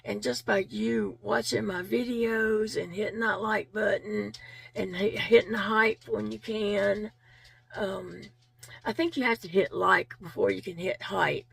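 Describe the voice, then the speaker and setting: A woman vlogger whose voice has gone raspy and is fading, with a strong gravelly fry in every phrase. She talks earnestly and thankfully to her viewers, circling back on her words with easy fillers. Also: medium pitch, fairly even intonation, a little slow, a bit bright. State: losing her voice. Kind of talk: casual heartfelt chat to viewers.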